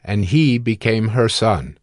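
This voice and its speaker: A man's voice: fairly low pitch, animated, takes it slowly, dry recording, clean studio sound. A man narrating scripture from a script in a dramatized reading.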